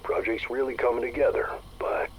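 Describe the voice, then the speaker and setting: An actor playing a gruff, plain-spoken and uneasy security chief, musing wryly in a video game audio log. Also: male voice, medium pitch, flat delivery, fairly quick, highs cut off, a little hiss or hum, lightly acted. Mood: wistful, uneasy. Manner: gruff, folksy, wry.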